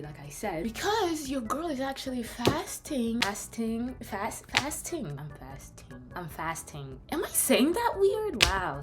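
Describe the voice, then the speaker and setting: Young woman, French-born, slight French accent; energetic, scattered trading vlogger over music. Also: fairly high pitch. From France. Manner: bubbly, scattered, switches into French phrases. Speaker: day trader. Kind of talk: casual vlog, screen walkthrough. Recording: background music.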